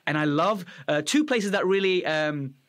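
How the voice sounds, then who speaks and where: A man in his thirties hosting a podcast interview, enthusiastic and expressive, speaking into a studio mic on a boom arm in an indoor home studio. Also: moderate pace. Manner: enthusiastic, expressive, gestures while talking. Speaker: podcast host.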